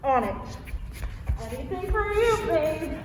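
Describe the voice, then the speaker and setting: A woman's voice: high-pitched, very animated, slow, full character voice. A young woman acting in a student stage play as an insecure, clingy girlfriend who stammers nervously and repeats herself. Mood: awkward, evasive. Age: young adult. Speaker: student actor. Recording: echoey hall, far mic, faint noise.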